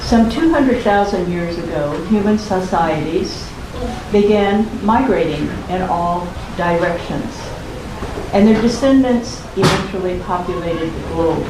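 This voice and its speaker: A low, soft-voiced older woman speaks at a very slow, measured pace, lecturing and reading from her own book. She is caught from far off in a bookstore room, with strong echo and loud background noise all around. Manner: soft-spoken, unhurried, reads aloud from her book. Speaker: author giving a talk. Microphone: room recording from a distance. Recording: roomy, distant, noisy live recording.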